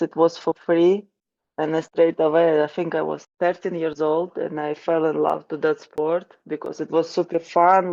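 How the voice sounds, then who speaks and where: A woman from Ukraine with a Ukrainian accent, answering interview questions slowly and haltingly, with many restarts and fillers. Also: low-pitched, creaky, a little mellow, dull audio. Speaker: guest, wrestling coach.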